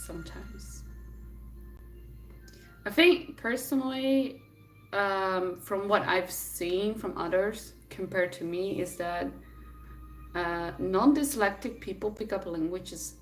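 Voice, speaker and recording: A woman's voice: medium pitch, slow pace, soft background music. Dutch woman chatting, distant from the mic. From the Netherlands. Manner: rambling, thinking aloud. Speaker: live streamer answering viewers. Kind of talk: casual chat, reading and answering questions.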